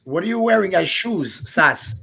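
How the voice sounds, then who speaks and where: A man's voice: high-pitched, animated, moderate pace, creaky, bright, forceful tone, slight background noise. A man chatting casually on a live stream, friendly and asking many questions. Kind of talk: casual chat.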